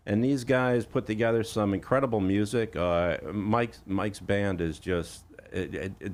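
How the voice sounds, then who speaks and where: A man hosting a radio show, with conversational, emphatic sales patter. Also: fairly low pitch. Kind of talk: radio hosting and live ad reading.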